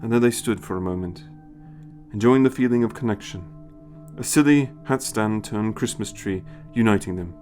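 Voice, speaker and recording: A man narrating a scripted story in an expressive storytelling style. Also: on the low side, moderate pace. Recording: music behind the voice. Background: music.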